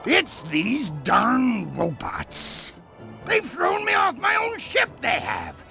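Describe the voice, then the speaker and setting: A man voicing a blustering, melodramatic old ghost pirate captain in a cartoon game, gravelly and theatrical. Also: very high-pitched, wildly swooping pitch, slow pace, shouting, music in the background, full character voice. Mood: disturbed bluster. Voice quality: gravelly, creaky. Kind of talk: cartoon game character acting.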